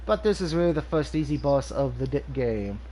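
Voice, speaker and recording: A man's voice: mid-range voice, lively intonation, a little fast, warm tone, slight background noise. Nasal man reviewing a video game. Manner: rambling, frequent ums and restarts. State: nasal from allergies. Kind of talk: casual game review over gameplay.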